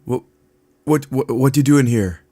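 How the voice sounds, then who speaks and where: A man playing a secretive, harried young jock in an audiobook drama, speaking in a halting, evasive but earnest way. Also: medium pitch, dark tone, no echo, clean studio sound, lightly acted. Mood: startled. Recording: faint background noise.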